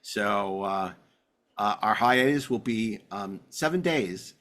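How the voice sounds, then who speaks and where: A man's voice: lowish voice, lively intonation, takes it slowly, a bit bright. A man hosting a podcast in casual banter, rambling along with enthusiasm and wry humor. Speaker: podcast host.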